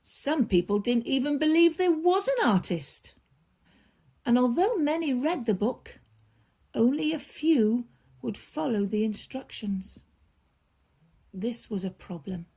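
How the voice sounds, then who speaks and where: A woman storyteller reading a children's bedtime story aloud, expressively, with drawn-out emphasis and hushed drops. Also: sits high, expressive, husky.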